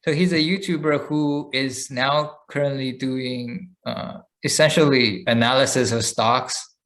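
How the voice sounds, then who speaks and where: A man with a voice of ordinary, middle pitch co-hosts a casual podcast. He talks in a relaxed, loose way, filling pauses with "uh" and restarting his sentences as he tells a story. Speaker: podcast co-host. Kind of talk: casual chat.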